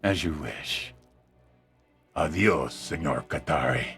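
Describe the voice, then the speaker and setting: An actor playing a brooding, grandiose drug cartel boss in a video game cinematic, speaking in measured, solemn phrases. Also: male voice, extremely low pitch, lively intonation, fairly bright tone, exaggerated voice. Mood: calm menace. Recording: music under the voice.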